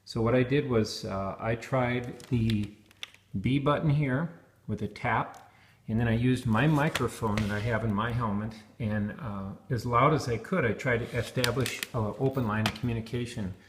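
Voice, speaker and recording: A man demonstrates gear off the cuff, talking through it step by step. His voice sits at an ordinary male pitch, and the recording is noticeably roomy and echoing. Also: normal speed, background noise. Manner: step-by-step, explanatory. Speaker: product reviewer demonstrating helmet intercoms. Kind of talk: hands-on gear demonstration, unscripted.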